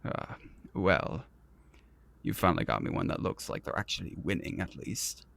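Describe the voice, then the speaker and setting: Male actor as vain fantasy prince, lowish gravelly voice, pompous, witty, condescending, theatrical audio roleplay delivery. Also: big pitch swings, moderate pace, fairly bright tone, heavily acted. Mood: amused, condescending. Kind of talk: scripted ASMR roleplay audio drama.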